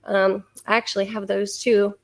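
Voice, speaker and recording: A woman hosting a livestream, reading out viewer comments and chatting, hoarse from losing her voice and clearing her throat now and then. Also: mid-range voice, little pitch movement, normal speed. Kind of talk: casual chat.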